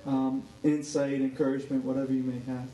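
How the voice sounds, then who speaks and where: A church member asking a pastor for encouragement, halting and searching for words. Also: male voice, medium pitch, flat delivery, warm tone, echoey room, far from the mic, a little hiss or hum, rough recording.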